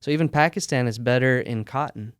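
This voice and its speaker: A man's voice: medium pitch, clean tone, no echo, clean studio sound. A man, the founder of a sock company, telling his story casually in a podcast interview with fillers and easy laughs. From United States. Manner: relaxed storytelling with frequent fillers and quick laughs.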